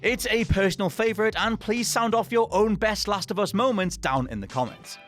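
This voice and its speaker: High-pitched man narrating a countdown over music. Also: lively intonation, fairly quick, a bit bright. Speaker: video list presenter. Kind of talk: scripted narration of a countdown list. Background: music under the voice.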